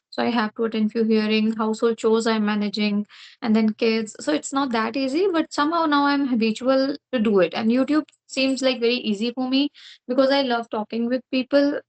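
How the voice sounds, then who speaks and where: Indian English woman host, slightly high voice, chatty casual conversation. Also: rough recording. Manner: friendly, talkative.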